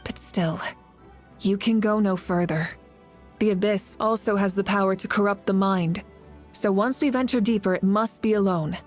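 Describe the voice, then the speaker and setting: A woman playing a commanding warrior leader in a video game, solemn and rallying, speaking with measured, dignified oratory. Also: medium pitch, faint music behind, close to an everyday voice. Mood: grave.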